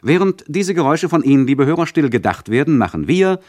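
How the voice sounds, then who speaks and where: An actor playing an ironic, mock-polite and condescending radio play narrator who addresses the listeners. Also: male voice, on the high side, big pitch swings, a little fast, a little mellow, no room sound, studio quality. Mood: mock solemn.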